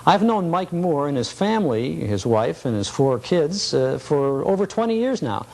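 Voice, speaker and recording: A man's voice: fairly high pitch, gravelly, a little mellow. A middle-aged man hosting a show in a folksy, conversational, genial way. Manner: genial, appreciative. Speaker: show host.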